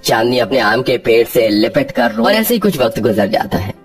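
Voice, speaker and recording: A man's voice: mid-range voice, sing-song, normal speed, soft background music. A man narrating a scripted children's story.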